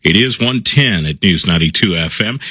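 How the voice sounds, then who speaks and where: Male radio news anchor, bright husky tone, dry close sound, steady read. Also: sits low, normal speed, no echo. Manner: steady, crisp announcer delivery. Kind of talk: news reading.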